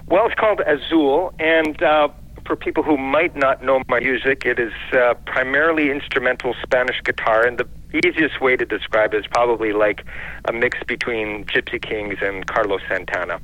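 Brief: mid-pitched man, Canadian musician guest, calling in, muffled lo-fi phone sound, easygoing. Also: normal speed, fairly bright tone. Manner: easygoing, laughs often. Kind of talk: phone-in radio interview. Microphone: telephone. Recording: dull lo-fi phone line.

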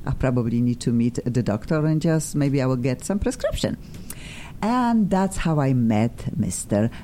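Gushing rambly lady hosting a talk show, mellow voice, faint rumble behind. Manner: effusive, excitable, rambling. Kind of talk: casual chat show hosting.

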